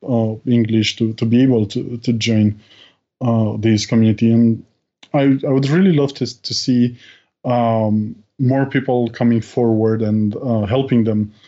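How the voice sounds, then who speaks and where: A man's voice: mid-range voice, fairly even intonation, a little slow, warm tone. A man speaking as a podcast guest in casual conversation, hesitant, with many fillers and restarts. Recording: dull lo-fi sound.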